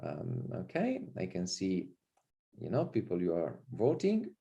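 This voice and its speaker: A man from Italy with an Italian accent, a visiting professor giving an online university lecture on a video call, relaxed and full of fillers and repeated "okay". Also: low-pitched, unhurried, gravelly, lo-fi sound. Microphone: computer mic on a video call.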